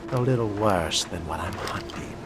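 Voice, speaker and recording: A man role-playing a sardonic, predatory vampire in solo game commentary, with wry, theatrical delivery and formal turns of phrase, under loud music. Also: sits low, normal speed, very noisy. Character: sardonic, predatory fledgling vampire stalking prey. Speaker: gamer role-playing through a video game.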